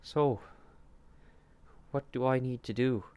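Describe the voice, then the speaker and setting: A man voicing a nervous, submissive male adventurer who talks in a high, pleading way. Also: a little slow, clean tone. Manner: high, pleading and eager to please.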